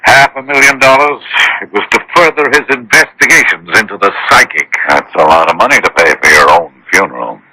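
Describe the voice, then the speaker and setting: Radio drama, wealthy old dupe: low, raspy, swinging intonation, proper and rueful. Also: male voice, expressive, fairly quick, pushed and bright, heavily acted, poor audio. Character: rich, gullible old man, rueful and proper. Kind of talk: radio mystery drama acting.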